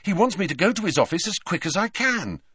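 A man's voice: mid-range voice, big pitch swings, fairly quick, fairly bright tone, husky, dry recording, clean studio sound, pitched above the performer's own voice. A man voicing an earnest, inquisitive teenage schoolboy in an audiobook, with an urgent, questioning manner. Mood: excited.

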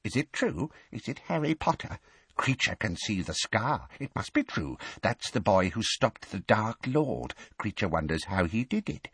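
A man's voice: on the low side, sing-song, unhurried, fairly bright tone, slightly rough, no room sound, pitched above the performer's own voice, full character voice. A man voicing a bitter, servile old house-elf in a storybook, muttering about himself in the third person. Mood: suspicious muttering.

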